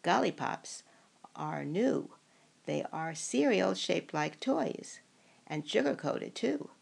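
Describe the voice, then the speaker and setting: An older woman voicing a bemused storybook wise man who makes musing, drawn-out pronouncements. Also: on the low side, big pitch swings, vocal fry, a little mellow, breathy edge, exaggerated voice. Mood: pondering.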